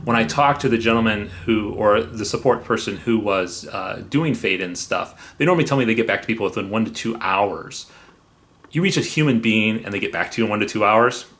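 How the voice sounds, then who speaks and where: Low-voiced man reviewing software. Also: brisk. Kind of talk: semi-scripted review narration.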